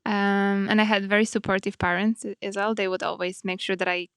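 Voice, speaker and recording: A woman of thirty speaks in a smooth, resonant voice. She tells her story calmly in simple sentences. The sound is close and dry. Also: medium pitch, a little fast, no room sound. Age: 30s. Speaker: artist, panel guest. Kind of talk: casual storytelling.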